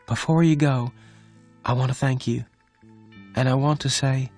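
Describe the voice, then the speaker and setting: A man voicing a gentle, lonely young woman who speaks softly and sadly. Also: mid-range voice, fairly even intonation, unhurried, soft background music, voice pushed up. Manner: soft, sad.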